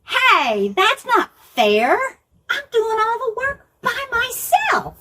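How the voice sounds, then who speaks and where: A woman voicing an excitable, busy hostess from a children's Bible story, exclamatory and repeating herself. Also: very high-pitched, slow pace, very noisy, voice pushed up, heavily acted. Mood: indignant, complaining. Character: Martha, excited busy hostess turning indignant at unfairness.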